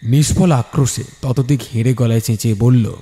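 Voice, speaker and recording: Male storyteller, medium pitch, dark relaxed tone, dramatic scripted narration with a long reverberant tail. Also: brisk. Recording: long studio reverb, music behind. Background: background music.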